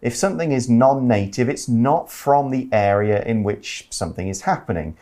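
Lively man with a bouncing pitch. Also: normal speed. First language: English. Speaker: podcast co-host teaching English. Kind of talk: explaining vocabulary in casual chat.

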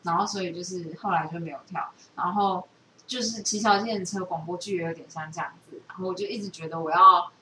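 A woman with a Taiwanese Mandarin accent hosts a casual chat, telling stories in an animated, rambling, self-interrupting way. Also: lowish voice, fast. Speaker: podcast host. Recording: roomy, distant, hissy home recording.

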